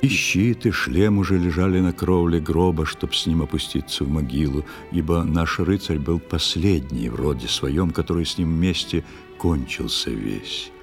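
A man narrating a literary fairy tale in verse, reciting it in a solemn, measured and expressive way. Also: rumbling low, soft background music. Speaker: storyteller narrator.

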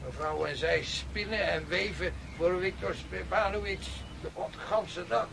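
An actor playing a humble, garrulous, middle-aged peasant woodcutter in a radio drama, plaintive and easily amazed. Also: male voice, high-pitched, measured pace, vocal fry. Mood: weary complaining. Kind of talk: Dutch radio drama acting. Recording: noisy radio play with outdoor ambience.

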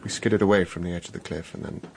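An actor playing an earnest, troubled crash survivor in a radio drama. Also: male voice, low-pitched, fairly even intonation, fast, creaky, a little mellow, slight background noise. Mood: weak, remorseful. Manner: urgent, incredulous, pleading. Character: frightened, pleading man, earnest crash survivor. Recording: music under the voice.

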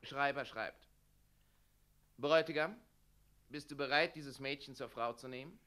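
An actor in a German radio play playing an officious, servile wedding official who declaims ceremoniously. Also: male voice, medium pitch, a little slow, pushed and bright. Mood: officious, brisk.

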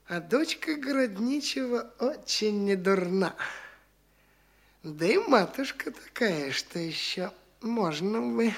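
An actor playing a boastful, lazy young fop in a classic radio play, with an airy, self-satisfied drawl. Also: male voice, high-pitched, a bit bright, exaggerated voice. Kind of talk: classic stage-style radio acting.

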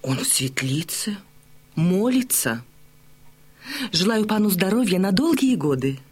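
An actress playing a deferential, plaintive innkeeper's wife in a radio drama, ingratiating and lamenting. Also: female voice, lowish voice, big pitch swings, measured pace, slightly rough, heavily acted. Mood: meek, ingratiating.

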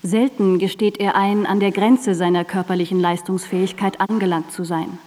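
A woman narrating a scripted documentary radio drama in a composed, deliberate way. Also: medium pitch, steady tone, darkish tone. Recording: reverberant studio, digitized cassette radio play.

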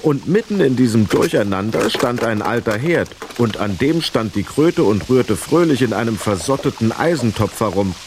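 A man's voice: medium pitch, lively intonation, moderate pace, few pauses, noisy background. A man narrating a scripted children's story as the narrator of a radio play.

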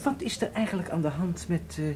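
An actor playing a genial, probing German horse-racing enthusiast in a Dutch radio drama, affable and a little hesitant. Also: male voice, high-pitched, animated, a little fast, noisy background. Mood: curious, concerned.

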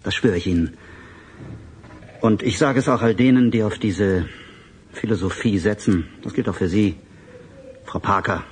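A man playing a proud, defeated but defiant government official in a German radio drama, speaking gravely with weighty emphasis and pauses. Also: on the low side, slight background noise, natural voice. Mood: defiant resignation.

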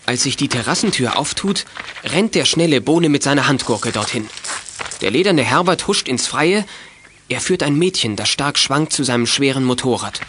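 A man's voice: medium pitch, lively intonation, moderate pace, background noise. A man narrating a scripted German children's audio drama in the present tense as storyteller.

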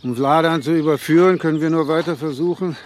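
A man's voice: a little high, quick pace, slight background noise, close to an everyday voice. A man playing a dry, laconic police chief inspector in a radio play. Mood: sober. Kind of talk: radio drama dialogue.

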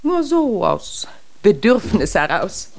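An actress playing a composed, imperious middle-aged widow in a German radio drama, speaking in a genteel, pointed manner. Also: female voice, mid-range voice, sing-song, takes it slowly, creaky, a little hiss or hum, exaggerated voice. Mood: reproachful, cutting. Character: composed, sharp-tongued middle-aged widow running the family shop.

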